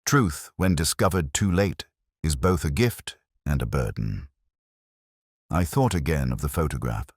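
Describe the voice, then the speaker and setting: A man narrating a first-person detective story in a measured, solemn, literary manner. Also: rumbling low, animated, breathy edge, no echo, clean studio sound.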